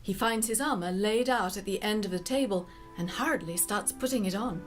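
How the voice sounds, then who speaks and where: A woman narrating a scripted story in a measured way, addressing the listener directly. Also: medium pitch, soft background music.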